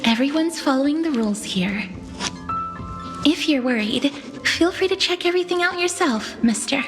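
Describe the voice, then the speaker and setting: A woman playing a gentle, polite young woman in a video game, soft-spoken and composed. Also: high voice, animated, moderate pace, big-room sound, music in the background. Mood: reassuring.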